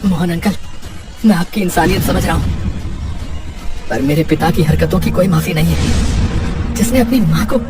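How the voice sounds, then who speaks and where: A woman voicing an emotional, indignant young man in a cartoon drama, halting and repeating phrases for emphasis. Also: fairly low pitch, recorded from a distance, over loud music, lightly acted. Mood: bitter, unforgiving. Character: grieving, furious young grandson confronting his cruel father.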